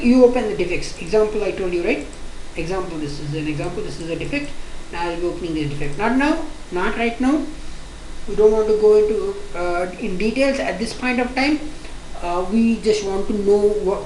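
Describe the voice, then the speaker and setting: A woman's voice: medium pitch, darkish tone, slight background noise. Indian-accented woman lecturing in an echoey room. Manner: repetitive, restarts often, fast-paced explaining. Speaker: software testing instructor. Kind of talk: online course lecture.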